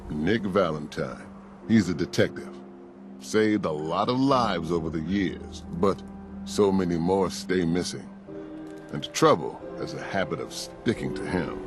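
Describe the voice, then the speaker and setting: An actor playing a kindly, folksy American pastor in a video game, sympathetic in manner. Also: male voice, low voice, measured pace. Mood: sympathetic, grave. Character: kindly, folksy male small-town pastor. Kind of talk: video game dialogue acting. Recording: voice over game music.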